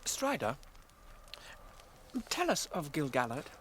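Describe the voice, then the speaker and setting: An actor playing a curious, nervous young hobbit in a radio drama, with a British accent. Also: male voice, on the high side, wildly swooping pitch, slow pace, a bit bright, a little hiss or hum, full character voice.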